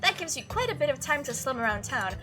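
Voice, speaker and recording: A woman's voice: high voice, big pitch swings, fast talker, music in the background. A woman voicing a chatty, teasing young small-town woman in a visual novel, with a playful, folksy, rambling manner. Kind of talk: visual novel character read aloud.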